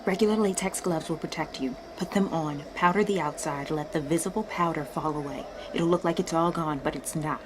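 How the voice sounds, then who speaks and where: Secret supplier, female actor, audio drama: low, hushed, careful and exact, loyal ally, noticeable background noise. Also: fairly quick, hardly any pauses, close to an everyday voice. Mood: hushed, earnest. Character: discreet, loyal woman secretly supplying a dangerous package. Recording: clear background noise and ambience.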